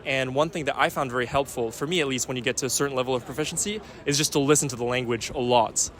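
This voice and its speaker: Fast-talking young man from France. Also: mid-range voice, steady tone, fairly bright tone. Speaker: street interviewee. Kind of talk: casual street interview answers.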